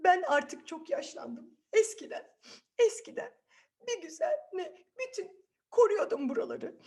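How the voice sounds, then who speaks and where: Woman playing a high, wailing old weary dog. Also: expressive, darkish tone, exaggerated voice. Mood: plaintive, nostalgic. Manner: wailing, drawn-out moaning.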